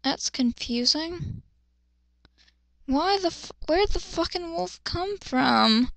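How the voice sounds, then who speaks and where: A woman's voice: very high voice, animated, slow, gravelly, no echo. A woman doing an amateur dramatic reading, breaking in with exasperated, sweary, sarcastic commentary in a theatrical way. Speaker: amateur dramatic reader and critic.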